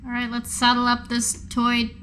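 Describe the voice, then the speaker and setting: A woman's voice: fairly high pitch, completely flat delivery, normal speed, fairly bright tone, a little hiss or hum. A woman playing a tabletop role-playing game, chatting casually with the group.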